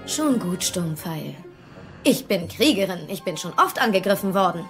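A woman's voice: fairly low pitch, sing-song, normal speed, soft background music. An actress playing a tough but caring teenage Viking warrior girl in a cartoon audio drama. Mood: tender.

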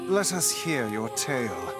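A man's voice: mid-range voice, big pitch swings, measured pace, over loud music. A man voicing a pompous, haughty talking magic book in a video game, with sardonic, old-fashioned grand diction. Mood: haughty, dry.

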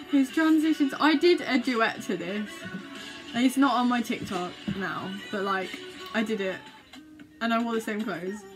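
Gushing young woman, high-pitched casual chat. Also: a little slow, low-quality recording. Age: young adult. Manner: gushing, giggly, exclamatory. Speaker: reaction video vlogger. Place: small bedroom, indoors. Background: music from videos being watched.

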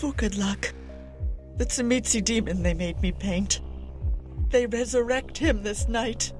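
A woman playing an anguished, refined vampire painter in a video game, melodramatic and breathy. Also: on the high side, measured pace, darkish tone, faint music behind, natural voice. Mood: despairing. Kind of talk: video game drama acting.

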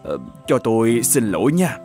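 A man voicing a grumpy older cartoon husband, animated pitch. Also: normal speed, faint music behind, lightly acted. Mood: apologetic. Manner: exclaiming, muttering to himself, scolding. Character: grumpy, nagging older shoemaker husband, scornful and quick to scold. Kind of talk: animated folk tale voice acting.

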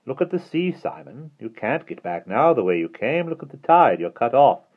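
A man's voice: mid-range voice, sing-song, normal speed, phone-quality sound, pitched above the performer's own voice. A man playing a smug, mocking villain in an audiobook, with a light, sneering voice. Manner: sneering, taunting. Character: sneering, mocking male villain, smugly patient.

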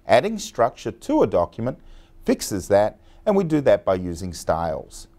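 A man in his thirties, a software trainer, narrating a scripted tutorial in a steady, instructional way. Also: medium pitch.

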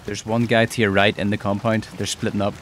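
A man's voice: lowish voice, fairly quick. A man talking through gameplay as a video creator, in narration and live team chat. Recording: steady loud background noise. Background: pouring rain and game ambience.

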